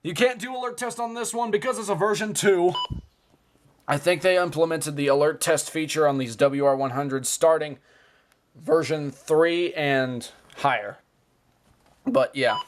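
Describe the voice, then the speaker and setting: A man with a fairly high voice talks through things in a casual show-and-tell style. He is informal and excitable, cutting in with interjections. There is clear noise on the home recording. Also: a little slow. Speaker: video host.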